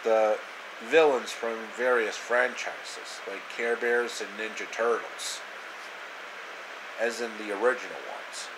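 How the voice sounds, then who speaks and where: A man in his thirties telling an improvised story casually to camera, halting and repeating phrases, in a noisy small room. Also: lowish voice. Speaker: amateur storyteller. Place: small indoor room, reclining. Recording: clear air conditioner noise.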